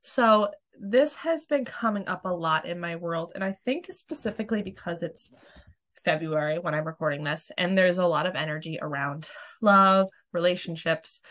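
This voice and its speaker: A woman in her thirties talks casually into her podcast mic from home, her lowish voice gone hoarse and raspy with a cold. She is chatty and frank, swearing now and then as she speaks. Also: fairly bright tone. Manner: candid, chatty, swears freely. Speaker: podcast host. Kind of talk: casual spiritual self-help podcast talk. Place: home room with dogs.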